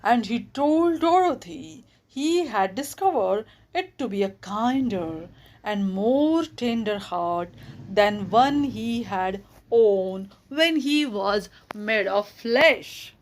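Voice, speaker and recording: A woman with an Indian accent narrating a children's storybook as host, in an expressive storytelling manner. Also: mid-range voice, takes it slowly, rough recording.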